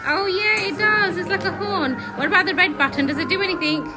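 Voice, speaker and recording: A woman with a very high voice talks from behind a phone camera on a shopping street, cheerful and full of questions. She cheers her child on in a casual, encouraging way. Loud music plays in the background. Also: a little fast. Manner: cheerful, asks many questions, cheers often. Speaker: parent filming her child. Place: shopping street outdoors by a kiddie ride. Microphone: phone camera held by the speaker.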